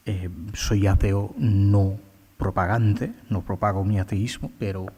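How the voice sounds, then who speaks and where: Slow, halting man. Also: on the low side, little pitch movement, warm tone, husky. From India. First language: Bengali. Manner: slow, halting, many filler sounds. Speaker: poet. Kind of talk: poetry reading with commentary. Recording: long hall reverb.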